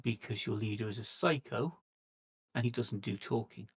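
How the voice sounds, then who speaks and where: Gravelly diplomatic vampire envoy played by a man. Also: medium pitch, natural voice. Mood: persuasive. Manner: measured, persuasive, formal. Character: earnest, diplomatic vampire envoy seeking a truce. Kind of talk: in-character tabletop roleplay dialogue. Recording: dull lo-fi livestream audio.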